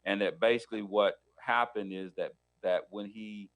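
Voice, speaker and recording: Low-voiced attorney host, hesitant. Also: male voice, fairly even intonation, moderate pace, a bit bright. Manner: hesitant, many restarts and fillers, leading questions. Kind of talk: legal talk show interview.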